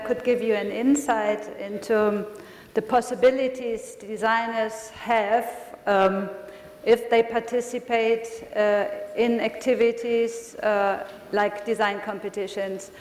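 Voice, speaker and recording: A woman from Germany, a native German speaker, giving a conference lecture with hesitant, halting phrasing. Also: a little high, steady tone, darkish tone, a little hiss or hum. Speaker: lecturer, design magazine founder. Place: lecture hall. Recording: echoey hall with long reverb.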